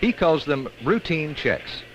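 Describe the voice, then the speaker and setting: An American man reads scripted training film narration in an instructional way with measured emphasis, on an old 1960s film soundtrack. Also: a little high, very animated, highs cut off, slight background noise.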